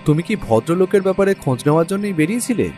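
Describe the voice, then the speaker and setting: A man with a fairly high voice narrates a detective story as an actor playing the sleuth's companion. He is curious and watchful, and music plays underneath. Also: lively intonation, very fast, warm tone. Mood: questioning.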